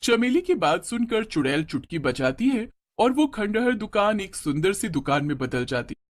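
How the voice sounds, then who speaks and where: Man telling a scripted story, pretty high voice, narrator style. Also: sing-song, moderate pace.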